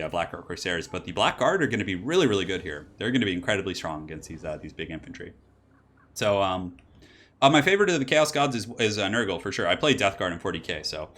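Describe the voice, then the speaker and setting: A young man casting a strategy game match solo, casual and rambling, his voice slightly raspy from allergies. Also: on the low side, fairly bright tone. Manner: rambling, casual, laughs and exclaims at plays. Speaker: esports caster and channel host.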